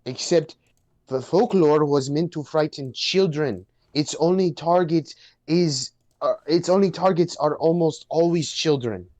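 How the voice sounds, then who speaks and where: A man playing an earnest, anxious young man who knows animals, explaining and halting in a dramatic script reading. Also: fairly high pitch, a little mellow, lightly acted. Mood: explanatory, calm.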